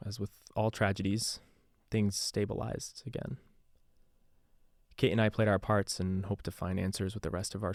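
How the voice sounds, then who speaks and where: A low-pitched, embittered radio DJ played by a male actor records a confessional account for a podcast drama. He talks conversationally, weary and sardonic, in a very dry, close sound. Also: creaky, darkish tone, no room sound. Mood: sober, guarded. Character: male radio DJ turned secretive investigator, embittered and haunted. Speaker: radio DJ narrating a taped case file.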